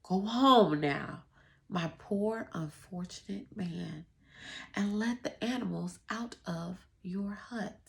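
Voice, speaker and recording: Lady reading as storybook rabbi, calm n bossy, slow careful orders. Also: medium pitch, vocal fry. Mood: calm, instructing. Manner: deliberate, firm, instructing. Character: calm, commanding rabbi, a wise male village adviser. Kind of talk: storybook read-aloud.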